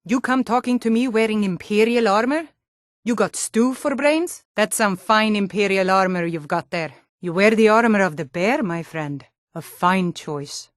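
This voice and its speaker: A woman playing a tough, suspicious female Nord city guard in a fantasy video game, with a blunt, gruff, challenging and wry manner. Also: medium pitch, moderate pace, no room sound, clean studio sound, natural voice. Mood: hostile, warning, then admiring.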